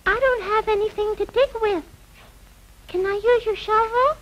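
A man's voice: very high-pitched, normal speed, fairly bright tone, slight background noise, poor audio. A man voicing an earnest, plaintive little girl puppet in a children's educational show, speaking in a hesitant, childlike way. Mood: hopeful. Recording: old 1960s film soundtrack, music behind.

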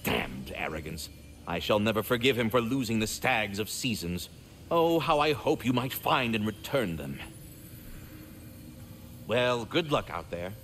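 A man's voice: on the low side, animated, measured pace, a bit bright, slight background noise. A man voicing a polite magical squirrel in a video game, with formal, florid, theatrical diction. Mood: indignant, hopeful.

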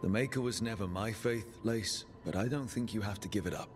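Supportive male adventurer played by an actor for a fantasy game: low, reasonable, thoughtful, faint music underneath. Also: brisk, close to an everyday voice. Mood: reassuring. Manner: reassuring, thoughtful. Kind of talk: naturalistic video game cutscene acting.

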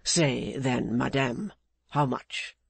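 Man doing a slow, mocking villain, insolent and menacing, dry sound. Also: mid-range voice, vocal fry, breathy edge, dry recording, full character voice. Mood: sneering. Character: menacing swaggering adult male blackmailer, insolent.

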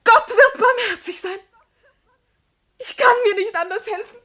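A woman's voice: very high voice, measured pace, pushed and bright, heavily acted. An actress playing a humble but proudly defiant young woman in 1950s German radio drama, speaking in an earnest, formal, declamatory manner. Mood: anguished. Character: young, virtuous musician's daughter, humble yet proudly defiant.

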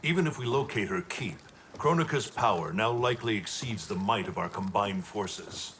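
An actor playing a solemn, formal ancient thunder god in a video game. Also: male voice, on the low side, expressive, normal speed, a bit bright. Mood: grave. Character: grave, ancient male thunder god protector. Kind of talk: video game cutscene acting. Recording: clear ambient noise behind.

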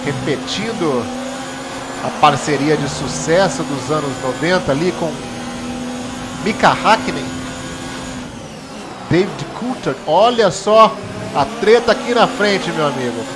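A high-voiced man from Brazil chats and jokes his way through a sim race, breaking into excited exclamations at the tight moments. Engine noise runs clearly under his voice the whole time. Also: unhurried, long pauses. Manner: joking, exclamatory, chatty. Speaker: gaming streamer. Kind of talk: casual gameplay commentary.

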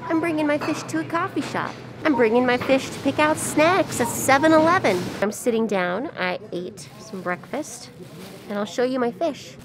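Young woman, hoarse voice, chatty vlog, camera at arm's length. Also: high-pitched, normal speed, crowd noise. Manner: chatty and excitable. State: hoarse from shouting. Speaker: vlogger. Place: hotel room, then airport. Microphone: handheld camera at arm's length.

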